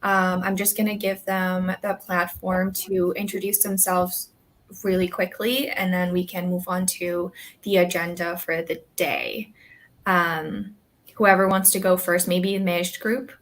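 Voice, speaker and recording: A woman's voice: lowish voice, little pitch movement, measured pace. A woman hosting an online student orientation session as a graduate assistant, speaking casually with frequent "um"s. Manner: casual, filler-heavy, friendly organizer. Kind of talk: hosting a video call.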